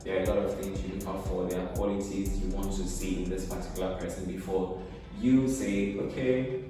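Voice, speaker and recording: A man's voice: sits low, fairly even intonation, fairly quick. A man hosting a casual interview, teasing his guest and laughing often between questions. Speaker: host. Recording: roomy reverb, distant mic, music behind.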